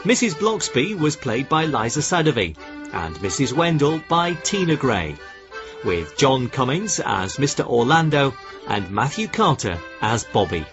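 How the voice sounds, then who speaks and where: A male announcer delivers scripted broadcast lines at a medium pitch with plenty of rise and fall. Violin music sits behind him, and the sound is a bit band-limited. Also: dull audio. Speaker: radio announcer.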